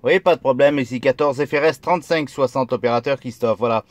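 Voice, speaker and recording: A man's voice: medium pitch, fast talker. A man making a casual radio contact as a CB operator, filming his own video.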